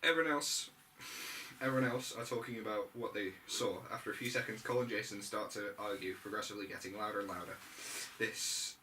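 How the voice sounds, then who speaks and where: A man's voice: fairly low pitch, a little fast, bright, forceful tone. A man reading stage directions aloud at an amateur script read-through, sometimes stumbling over the text. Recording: distant mic, faint room noise.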